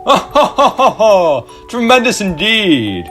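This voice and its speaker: Young guy playing jolly xmas ghost, big hearty laugh, high lively voice. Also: slow, soft background music, exaggerated voice. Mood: jovial. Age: young adult. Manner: hearty laughing, then grave and somber. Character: booming jovial male Christmas spirit. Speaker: student actor.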